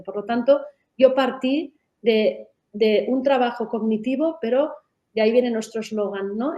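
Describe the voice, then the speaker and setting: Middle-aged woman giving a webinar talk, kinda high pitch, warm mellow tone, lots of "no?" tag questions. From Catalonia. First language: Catalan. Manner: explanatory, with frequent tag questions and restarts. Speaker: method founder presenting in a webinar. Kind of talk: webinar presentation.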